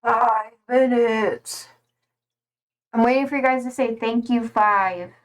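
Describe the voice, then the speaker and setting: A woman's voice: fairly high pitch, fairly even intonation, slow pace. A young woman streaming live, chatting casually and reading out viewer comments in a slangy, rambling way full of "like".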